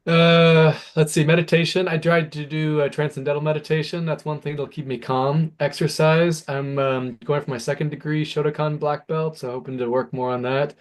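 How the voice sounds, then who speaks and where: A man from the United States speaks casually on a video call. He pauses often and leans on fillers while he thinks aloud. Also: a little high, little pitch movement. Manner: hesitant, many fillers. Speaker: app founder.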